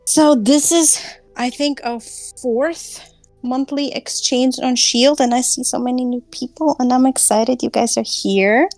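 A woman's voice: sits high, unhurried, a little mellow, dry recording, faint music behind, poor audio. A woman, hosting an online class over a video call in a casual, giggly way full of fillers. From Germany. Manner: chatty, giggly, many fillers. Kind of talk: casual group call chat.

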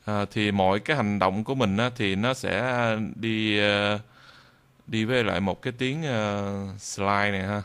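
A man narrating an informal software tutorial in a halting way, with frequent drawn-out hesitations. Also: fairly low pitch, steady tone, clean tone. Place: indoors at a computer, screen recording voice-over.

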